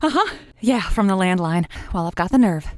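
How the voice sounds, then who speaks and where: A woman playing a chatty, easily flustered lonely-hearts radio host in an audio comedy, halting and informal with repeated words. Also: mid-range voice, very animated, a little mellow, no room sound, clean studio sound, close to an everyday voice. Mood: nervous, excited. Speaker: radio call-in show host. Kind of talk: audio comedy drama, casual radio hosting.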